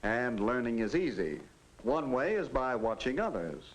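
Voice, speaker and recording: Guy doing fatherly 50s classroom film narrator, coaching and animated, bit of old soundtrack hiss. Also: medium pitch, normal speed, close to an everyday voice, low-quality recording. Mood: friendly coaching. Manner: coaching, instructive. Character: fatherly unseen narrator coaching a teenage boy in manners. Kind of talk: 1950s educational film narration.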